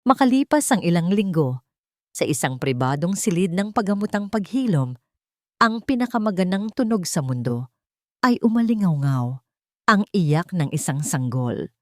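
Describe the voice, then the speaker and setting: A woman with a lowish voice narrates a dramatic story. Her delivery is earnest and measured, full of feeling, recorded close and dry with little room sound. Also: very animated, no room sound. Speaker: audiobook narrator.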